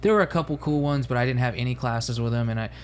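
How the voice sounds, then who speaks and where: A man's voice: medium pitch, quick pace, slight background noise. A man co-hosting a podcast, wry and self-mocking in casual chat. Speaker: podcast co-host.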